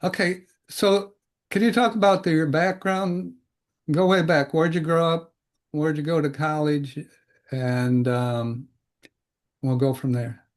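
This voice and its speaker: A man's voice: a little high, fairly even intonation, slow. Older male podcast host, rambling. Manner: rambling, loosely phrased questions. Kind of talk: conversational interview.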